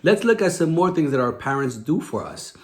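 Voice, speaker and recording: A man's voice: a little high, big pitch swings, fairly quick, a little hiss or hum. A man hosting a children's story time, explaining simply in a chatty way and often checking with "right?". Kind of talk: children's storytime reading with casual chat.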